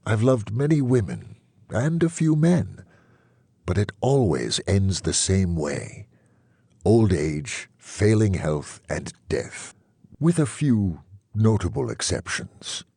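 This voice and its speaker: A man narrating an audiobook as a wry, melancholy immortal vampire, reflective and dryly witty, in a husky voice. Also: darkish tone, no room sound, heavily acted. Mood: wry, wistful. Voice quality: low, husky. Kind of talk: first-person audiobook narration.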